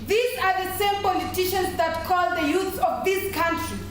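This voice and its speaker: A woman's voice: very high-pitched, normal speed, slight background noise. A woman playing an earnest student orator in a stage play, rallying young people with emphatic, declamatory speech. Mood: earnest, rousing. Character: earnest young student orator urging fellow youths to fight corruption. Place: stage play in an echoing hall. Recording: echoey hall from far off, music behind.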